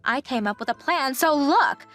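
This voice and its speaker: Woman playing a snobbish, high-voiced young travel agent. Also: expressive, moderate pace, fairly bright tone, soft background music. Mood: pushy, smug. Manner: phony, mock-polite, dismissive. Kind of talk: English manga dub acting.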